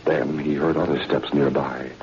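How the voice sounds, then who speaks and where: A man's voice: very deep voice, flat delivery, normal speed, a little mellow. A man narrating a scripted drama in dramatic 1940s radio style. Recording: muffled, noisy old-time radio recording.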